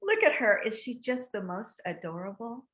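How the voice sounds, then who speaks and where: An older woman, a guest actress, chatting in an interview over a video call, telling lively stories and laughing often. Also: a little high, moderate pace. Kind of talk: casual interview chat.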